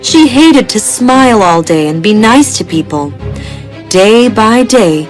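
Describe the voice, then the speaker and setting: A woman's voice: medium pitch, animated, takes it slowly. A woman reading a scripted bedtime story as a storyteller. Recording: music behind the voice. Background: background music.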